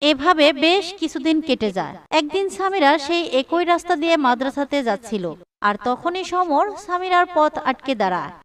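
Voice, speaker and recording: A woman's voice: high-pitched, expressive, normal speed, a bit bright, far from the mic. A woman narrator reading a scripted moral story for a cartoon.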